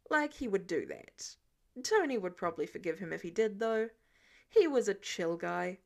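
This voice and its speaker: A woman reading a story aloud as expressive scripted narration, in the manner of an audiobook. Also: mid-range voice. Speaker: fan fiction audiobook reader.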